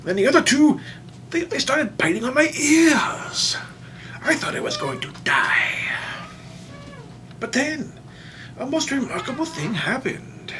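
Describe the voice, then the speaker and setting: Rambling, forgetful old storyteller grandpa, sharp-edged. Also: high voice, big pitch swings, slight background noise, full character voice. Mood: dramatic, earnest. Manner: rambling, halting, stammering old-timer delivery. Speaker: storyteller, show host. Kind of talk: comic storytime monologue.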